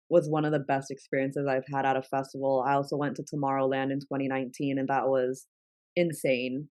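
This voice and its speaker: A woman's voice: very deep voice, no echo. A young woman from New York whose first language is Spanish, chatting casually as an interview guest with lots of "like" fillers. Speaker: guest, travel content creator.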